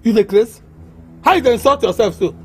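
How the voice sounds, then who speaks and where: Male actor as brash Nigerian drinker-tenant for comedy drama: very high voice, mouthy, taunting, animated. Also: a little fast, faint music behind. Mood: angry, mocking. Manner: loud, taunting, repetitive ranting. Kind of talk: Pidgin comedy drama acting.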